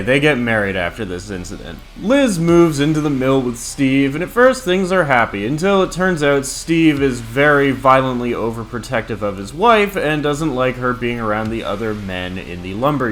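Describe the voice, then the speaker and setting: A man's voice: mid-range voice, fairly bright tone. A man in his thirties narrating a scripted countdown review in a crude, profane, opinionated way. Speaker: video host and reviewer.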